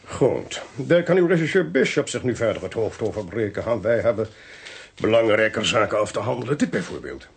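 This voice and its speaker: A man playing a shrewd, theatrical Dutch police chief in a radio drama, explaining things deliberately and wryly. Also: fairly low pitch, animated, creaky, breathy edge, exaggerated voice. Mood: brisk, methodical. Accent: Dutch (Netherlands).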